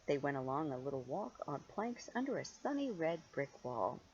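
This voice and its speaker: Older female storyteller, grandmotherly read-aloud with chatty asides, low pitch, lively swooping intonation, drawn-out emphasis. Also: lo-fi sound, a little hiss or hum. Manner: expressive, playful, drawn-out emphasis on key words. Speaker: grandmother reading stories to children.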